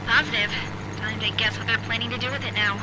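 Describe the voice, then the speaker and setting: A woman's voice: on the high side, fairly even intonation, quick pace, background noise, lightly acted. An actress playing a young female tech operator guiding the hero in a video game cutscene. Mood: matter-of-fact. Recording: very loud music behind voice.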